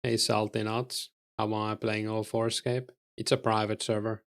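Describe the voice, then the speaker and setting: A low-voiced man with a Finnish accent, speaking in a gravelly, monotone way. He chats casually with his stream's viewers while playing, answering them in a relaxed manner with plenty of fillers. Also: normal speed. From Finland. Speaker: game streamer.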